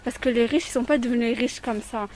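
A woman's voice: fairly high pitch, brisk, a little mellow. A woman giving a firm street-interview opinion, repeating her phrasing to make the point. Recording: faint ambient noise.

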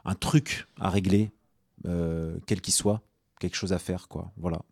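A man from Tunisia, speaking as a guest on a radio talk show, often pausing and restarting his sentences. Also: deep voice, steady tone, creaky, darkish tone, husky, no echo, studio quality. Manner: hesitant, many restarts and fillers. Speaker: guest activist. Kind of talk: radio discussion.

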